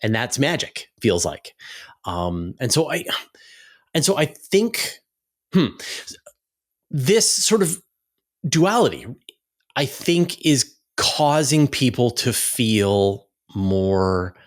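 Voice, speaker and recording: A man's voice: medium pitch, sing-song, slow pace, pauses often. A man hosting an interview in a conversational style, searching for his phrasing as he goes. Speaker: interviewer, channel host.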